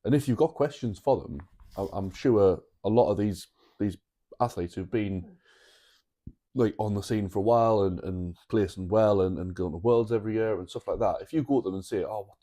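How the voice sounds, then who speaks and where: A man co-hosting a podcast, talking in a relaxed, casual way with many repeated words. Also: lowish voice, normal speed. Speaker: podcast co-host. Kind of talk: casual chat.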